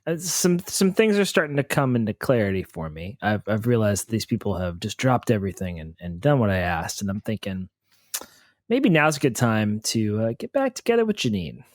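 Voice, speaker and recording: Halting man in a dry-sounding room. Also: lowish voice, very animated, dry recording. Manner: halting, repeats words while thinking aloud. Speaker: player in a tabletop role-playing game. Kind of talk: casual in-character improvised chat.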